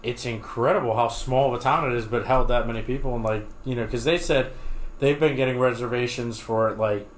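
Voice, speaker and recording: A man co-hosting a podcast in casual chat, relaxed and agreeable with jokey asides. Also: mid-range voice, a little fast, roomy sound, a little hiss or hum, low-quality recording. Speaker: podcast co-host.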